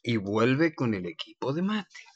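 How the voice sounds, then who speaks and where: A man's voice: mid-range voice, sing-song, measured pace, slightly rough, no echo. A man reading scripted stage directions as narrator of a radio play. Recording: dull lo-fi sound with faint noise.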